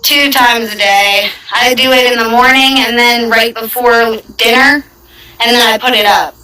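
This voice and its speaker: A woman's voice: on the high side, steady tone, normal speed, bright, forceful tone. Chatty woman, echoey and distant. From Virginia, United States. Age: 20s. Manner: chatty and playful, teasing. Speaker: conversation partner. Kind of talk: casual chat. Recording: echoey, distant, busy background. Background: dog barking.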